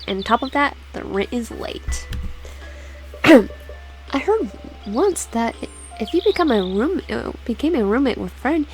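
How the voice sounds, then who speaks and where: Young gamer woman, higher voice, reads a story game aloud, lots of restarts, soft music under it. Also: rough recording. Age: young adult. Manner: halting reading with many restarts, chatty asides. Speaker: gaming YouTuber. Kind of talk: reading a visual novel aloud with commentary.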